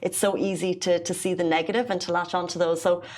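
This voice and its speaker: A woman's voice: fairly low pitch, little pitch movement, quick pace, a little mellow. A woman hosting an interview, asking casual, encouraging questions with fillers like "like". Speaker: interviewer, host.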